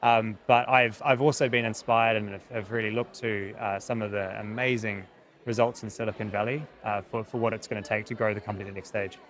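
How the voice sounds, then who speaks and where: A man's voice: on the low side, fairly even intonation, brisk, a bit bright. Earnest New Zealand man being interviewed. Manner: earnest, hesitant with repeated words. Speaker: technology company founder, interview guest. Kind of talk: conversational interview answers.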